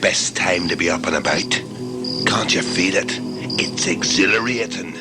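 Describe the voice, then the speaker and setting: A man's voice: deep voice, moderate pace, loud music behind the voice, lightly acted. A man playing a terse, matter-of-fact night hunter in a sci-fi audio drama. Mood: grim, eager.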